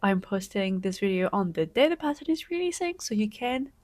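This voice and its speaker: A young woman knitting vlogger, congested from a cold, chatting excitedly to camera and sometimes slipping into a soft whisper. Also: medium pitch, fairly quick. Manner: gushing and excitable, often dropping to a soft whisper. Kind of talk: casual vlog chat to camera.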